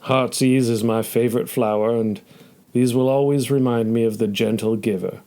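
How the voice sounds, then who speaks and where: Old gentleman, acted by a man, gravelly, kindly, formal, measured, audio drama. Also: medium pitch, fairly even intonation, lightly acted. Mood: fond, grateful. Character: kindly, courteous old widowed gentleman, a wealthy neighbour. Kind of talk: audio play acting.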